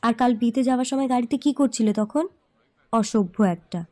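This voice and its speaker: Girl acting a coy teasing young sweetheart, soft mellow tone, bit high, mock scolding, audio drama. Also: moderate pace, natural voice. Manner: playfully scolding.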